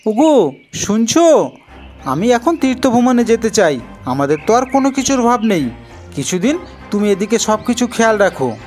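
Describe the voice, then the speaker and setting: A man's voice: very high-pitched, very animated, normal speed, pauses often, a little mellow, faint music behind, pitched above the performer's own voice. A man playing a poor, humble woodcutter in a cartoon folk tale, plaintive and respectful. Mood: instructing. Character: poor, sorrowful, pleading woodcutter, humble husband.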